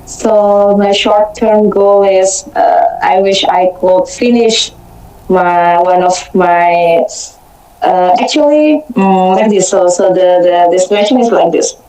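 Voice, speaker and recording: A woman's voice: medium pitch, slow, warm tone, reverberant, low-quality recording. A woman teacher speaking hesitantly on a video call, searching for words as she talks. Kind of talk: casual online chat.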